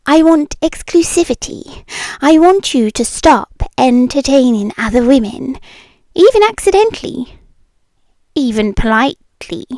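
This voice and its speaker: A British woman playing a possessive, wealthy, menacingly sweet yandere in an intimate ASMR roleplay, drifting between soft deliberate speech and breathy whispers. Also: high-pitched, no room sound, heavily acted. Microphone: close microphone, dry.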